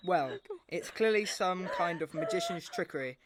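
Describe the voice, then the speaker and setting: A man's voice: high voice, lively intonation, moderate pace, heavy background noise. A scornful city military man in a radio drama, acted by a man. He talks down to everyone in a pompous, impatient way and has no time for superstition. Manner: pompous, condescending. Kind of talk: radio play acting.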